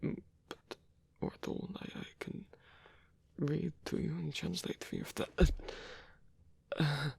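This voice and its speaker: A man playing a lonely, tender old vampire with a German accent in an ASMR roleplay, speaking softly and haltingly with formal phrasing. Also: fairly high pitch, level tone, no echo, full character voice. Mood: earnest, awkward. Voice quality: breathy, husky. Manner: hesitant, halting, formal phrasing, soft-spoken.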